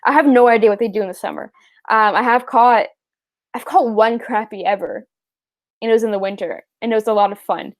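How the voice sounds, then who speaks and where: A young woman from Canada chats with viewers on her live fishing stream. She rambles in a relaxed way, full of "um" and "like," and laughs easily as she answers questions. Her voice sits a little on the high side. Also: fairly quick. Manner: chatty, rambling, laughs easily, many fillers. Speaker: fishing livestream host. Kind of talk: casual live chat reading viewer questions.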